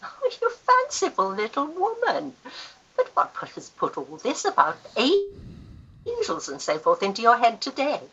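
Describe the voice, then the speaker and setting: Woman playing a bright, animated older Victorian lady. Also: on the high side, unhurried, dull audio, full character voice. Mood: wry, fond. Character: worldly, affectionate older aunt and wealthy guardian, teasing, wry. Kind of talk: Victorian period radio acting.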